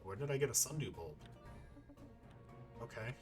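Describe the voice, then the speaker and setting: A man's voice: medium pitch, animated, moderate pace, music in the background. A man doing casual let's-play commentary, thinking aloud in a rambling, playful and sometimes profane way. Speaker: gaming YouTuber playing and commenting.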